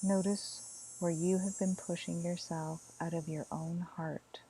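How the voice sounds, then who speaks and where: A woman with a low, mellow voice leads a guided meditation. She is gentle and soft, leaving long, unhurried pauses between phrases. A faint hum with insect-like noise sits behind her. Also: flat delivery, rough recording. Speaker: meditation teacher in training.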